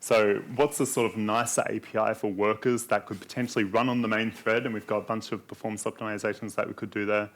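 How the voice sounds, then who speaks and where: Male tech speaker with a lowish voice, calmly walking an audience through demos. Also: fast, hardly any pauses. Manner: explanatory, steady walkthrough of code and demos. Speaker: conference speaker. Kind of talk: technical conference talk walking through demos.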